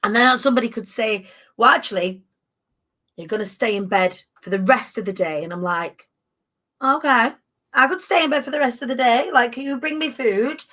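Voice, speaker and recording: A woman in her thirties hosting a casual chat, animated and emphatic with playful asides. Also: fairly high pitch, normal speed. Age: 30s. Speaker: podcast host.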